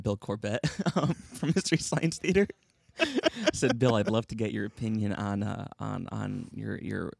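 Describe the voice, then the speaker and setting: Young man, gravelly low voice, chuckles a lot, stumbling restarts, casual podcast guest. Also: no room sound. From Connecticut. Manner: laughs often, halting restarts, self-deprecating jokes.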